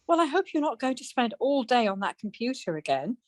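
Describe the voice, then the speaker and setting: An actress playing a dry, sarcastic, teasing middle-aged wife in an amateur radio play. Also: female voice, on the high side, very animated, a little fast, dry recording.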